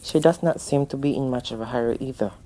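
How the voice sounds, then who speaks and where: Elderly father for radio drama, patient, very deep, mellow tone; a woman acts him out. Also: fast talker. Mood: calm. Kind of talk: amateur radio drama acting.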